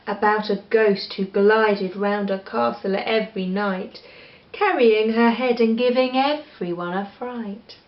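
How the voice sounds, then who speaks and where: A woman with an English accent reading a children's story aloud in an expressive style. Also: medium pitch, unhurried. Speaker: storybook reader. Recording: echoey room, slightly dull sound.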